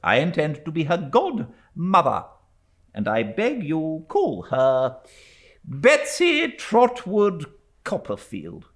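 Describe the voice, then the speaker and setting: A man's voice: on the high side, huge pitch swings, takes it slowly, pitched above the performer's own voice, exaggerated voice. A man voicing a stern, domineering elderly aunt in a storybook reading, with a commanding, clipped and indignant manner. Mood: imperious.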